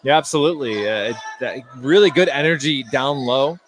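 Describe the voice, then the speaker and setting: A man giving conversational basketball analysis as a color commentator, informal and often pausing to find his words. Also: mid-range voice, animated. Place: indoor basketball gym, courtside. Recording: live gym broadcast, faint background noise.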